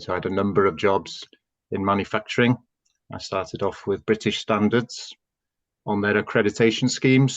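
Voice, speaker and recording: A man from North Wales, a native Welsh speaker, speaks in a very low voice. He's a chief executive answering interview questions in a relaxed, unhurried way with plenty of ums. The audio sounds dull and lo-fi. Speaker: chief executive, podcast guest.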